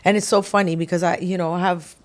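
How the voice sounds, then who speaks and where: Singer guest, woman from Quebec, low voice, lively storyteller who acts out what people said. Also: brisk. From Quebec, Canada. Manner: animated storytelling, acts out quotes with laughs. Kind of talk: casual interview chat.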